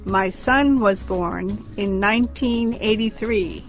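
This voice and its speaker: A woman slowly repeating model sentences for a language drill. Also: medium pitch. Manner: slow, carefully enunciated. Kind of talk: slow drill repetition. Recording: dull lo-fi audio with faint music.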